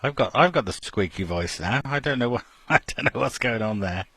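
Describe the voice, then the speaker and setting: A man's voice: medium pitch, expressive, moderate pace, fairly bright tone, husky, dry recording. A man hosting an amateur radio net, chatting casually and laughing often between remarks. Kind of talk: casual over-the-air chat.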